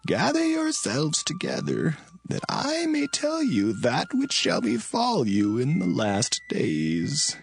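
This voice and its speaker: Audio scripture drama: grave old dying father, gravelly, drawn-out declamation, music underneath. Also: on the high side, huge pitch swings, heavily acted. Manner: slow, halting, solemn declaiming. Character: Israel, aged dying biblical patriarch, solemn, blessing his sons.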